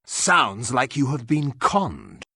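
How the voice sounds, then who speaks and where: Game role: stern formal hero, forceful tone, dramatic pitch swings, dry close recording. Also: male voice, wildly swooping pitch, slightly rough, dry recording, full character voice. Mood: dry, curt. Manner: stiff, formal, deliberate diction. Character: blunt, haughty fantasy warrior hero, stiff and formal. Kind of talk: video game character acting.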